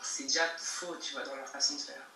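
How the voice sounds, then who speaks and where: A woman's voice: very deep voice, fairly even intonation, brisk, sharp tone. Emphatic young woman, echoey call. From Geneva, Switzerland. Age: young adult. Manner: emphatic, repeats herself, laughs between points. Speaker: guest rapper. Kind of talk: casual interview answers. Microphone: video call. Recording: echoey distant call audio with faint noise.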